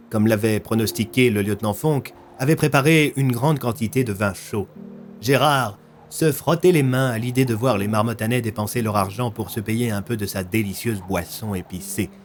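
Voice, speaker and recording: Low-pitched male story narrator. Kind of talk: scripted audio story narration.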